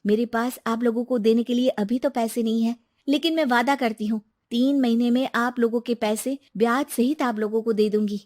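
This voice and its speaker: A woman's voice: a little high, steady tone, moderate pace, few pauses, a little mellow, close to an everyday voice. A woman voicing a determined, earnest young businesswoman. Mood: resolute, pleading.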